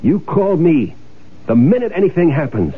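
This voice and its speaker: An actor playing a curt, impatient swindler husband in an old-time radio drama, in dialogue and first-person narration. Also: male voice, a little high, sing-song, moderate pace, crowd noise. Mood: impatient, bossy. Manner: curt, bossy. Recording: muffled vintage radio broadcast with music.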